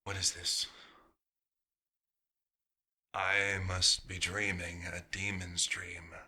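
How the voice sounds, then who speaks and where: A man playing a nostalgic, proud vampire doctor in an ASMR roleplay, musing theatrically and slipping into breathy whispers. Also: deep voice, sharp tone, exaggerated voice. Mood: suspicious.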